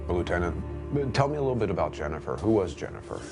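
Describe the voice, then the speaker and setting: Male documentary host and investigator, lowish register, narration and interview delivery, music underneath. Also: sing-song, fast talker.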